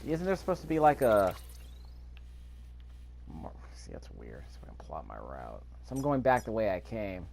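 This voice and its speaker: A man's voice: fairly low pitch, huge pitch swings, normal speed, vocal fry, long pauses, darkish tone, a little hiss or hum. Offhand man muttering game commentary. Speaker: video game player recording commentary. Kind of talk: casual let's play commentary. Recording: game sound under the voice. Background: video game ambience.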